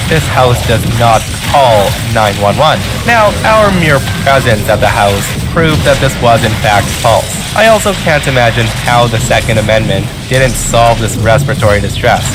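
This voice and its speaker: A man narrating online stories aloud in a lively, playful reading with shifting emphasis. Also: medium pitch. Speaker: story narrator. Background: loud music.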